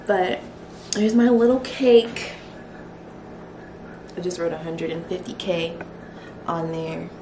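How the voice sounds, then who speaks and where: A young woman talking casually to her camera at home, soft and reflective, a little emotional. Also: on the low side, takes it slowly, pauses often, far from the mic, background noise. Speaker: vlogger. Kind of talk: casual vlog talk. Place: small home kitchen and living room. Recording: roomy, from the mic, music behind.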